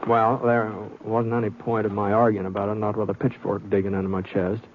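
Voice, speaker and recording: An actor playing a reluctant, laconic drifter in a radio western, folksy and understated. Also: male voice, on the low side, flat delivery, brisk, background noise, close to an everyday voice. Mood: wry, storytelling. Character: reluctant, laconic drifter telling his own western tale. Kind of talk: old-time radio western acting and first-person narration. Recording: dull old radio recording.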